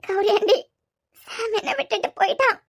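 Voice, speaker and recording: An actress playing a heartbroken young woman in a TV serial, crying and speaking in soft, broken phrases. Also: very high-pitched, a little fast. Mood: tearful. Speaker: heroine in a TV serial. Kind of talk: TV serial acting.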